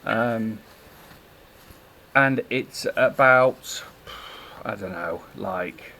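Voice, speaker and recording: A man talking casually and haltingly in a low-key, unscripted showcase of something he made. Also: pushed and bright. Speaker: maker showing his work.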